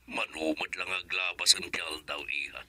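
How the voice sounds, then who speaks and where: A man's voice: deep voice, fairly even intonation, moderate pace, sharp tone. A man with an Ilocano accent playing a fond, teasing older father in a radio drama. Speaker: father character.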